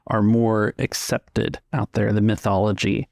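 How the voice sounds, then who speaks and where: A man's voice: lowish voice, level tone, unhurried, gravelly, darkish tone, no echo. A man narrating a tabletop roleplaying game as its game master.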